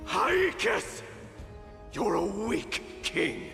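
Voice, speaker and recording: Fierce young warrior prince, forceful. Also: male voice, sits high, wildly swooping pitch, drawn-out words, vocal fry, heavily acted. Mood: defiant contempt. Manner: intense, declamatory. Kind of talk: dramatic video game acting. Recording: echoing hall under music.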